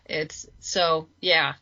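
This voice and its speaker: A woman talking casually as an interview guest, chatty and halting, often restarting her sentences. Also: deep voice, pushed and bright, no room sound, dull audio. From lives in Los Angeles. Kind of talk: casual conversational chat.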